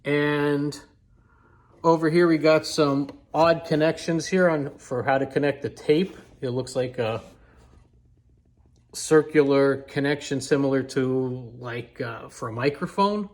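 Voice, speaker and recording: An American man giving a casual, unscripted product demonstration in a measured, explanatory way. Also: a little high, darkish tone. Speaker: vintage radio collector presenting a video review.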